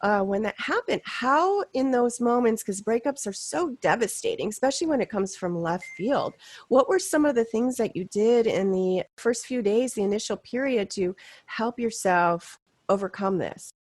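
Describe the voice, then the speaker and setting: A woman hosting a podcast interview, asking measured, caring questions. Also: on the high side, expressive. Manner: brief affirming responses, measured questions. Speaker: host.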